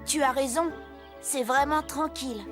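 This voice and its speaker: A woman's voice: sits high, unhurried, music in the background. A woman voicing a friendly, dutiful young male tractor in a children's cartoon, earnest and polite. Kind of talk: French children's cartoon dub.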